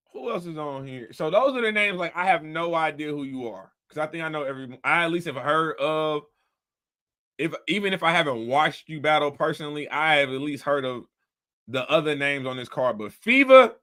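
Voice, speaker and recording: A man's voice: a little high, lively intonation, moderate pace, fairly bright tone. A man hosting a casual commentary show, talking bluntly and animatedly in a rambling way. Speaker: host.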